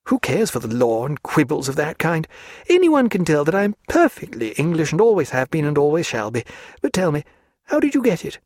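A male audiobook narrator voicing a lively, teasing young English lady with a gushing manner. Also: a little fast, no echo, studio quality, full character voice. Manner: gushing, teasing, high-spirited.

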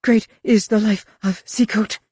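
A woman's voice: mid-range voice, fairly even intonation, measured pace, darkish tone, husky, no room sound, studio quality, pitched above the performer's own voice. A woman voicing a pompous, defensive male alien zealot in an audiobook, haughty and protesting. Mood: fearful praying.

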